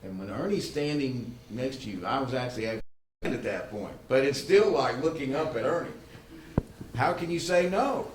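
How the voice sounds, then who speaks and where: A man hosting a conference, speaking informally and haltingly to the room, often repeating words. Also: mid-range voice, lively intonation. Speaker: event host. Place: good-sized meeting room. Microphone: livestream microphone, not amplified in room. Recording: roomy echo, faint background noise.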